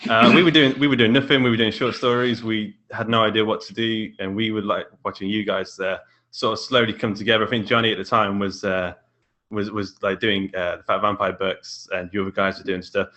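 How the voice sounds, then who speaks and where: British male podcast guest, low-ish voice with bright, forceful edge, casual interview chat. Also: fairly even intonation, a little fast. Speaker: podcast guest, indie author.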